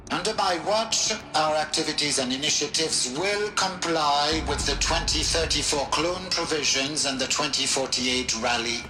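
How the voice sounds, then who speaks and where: Actor as a solemn scientist, echoing room. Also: male voice, medium pitch, lightly acted. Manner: precise, solemn, pompous. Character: stern, dignified francophone scientist in charge of a program. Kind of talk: formal scripted briefing in a video game. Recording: roomy echo with music behind.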